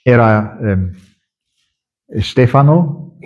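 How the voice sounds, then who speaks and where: A man preaching a sermon in a language that is not his own, working through it very slowly and haltingly, with frequent fillers and restarts. His voice sits at an ordinary middle pitch. The recording is dull and lo-fi. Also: lively intonation. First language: not Spanish. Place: church conference hall.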